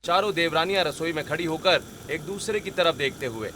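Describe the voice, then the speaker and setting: A man narrates a scripted family cartoon tale. His voice is forceful, bright and a little high for a man. The recording carries faint noise and clicks. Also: fairly quick.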